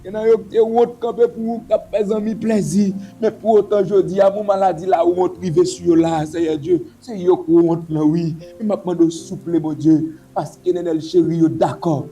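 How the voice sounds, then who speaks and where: A man's voice: high voice, fairly even intonation, moderate pace, mellow. A man leading a pleading, repetitive spoken prayer. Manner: repetitive, pleading, intoning invocations. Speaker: prayer leader.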